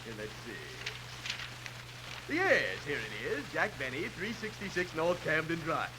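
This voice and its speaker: A man's voice: a little high, very animated, slow pace. A man playing a cranky, wisecracking music store clerk who sarcastically needles his customer in 1950s radio comedy style. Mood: needling. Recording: noisy old radio broadcast recording.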